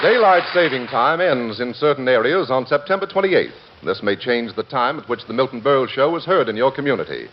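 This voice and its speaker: A man's voice: mid-range voice, animated, brisk, few pauses, a faint crowd, a little hiss or hum. A man announcing on 1940s radio in a formal, emphatic manner. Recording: dull vintage radio broadcast with music.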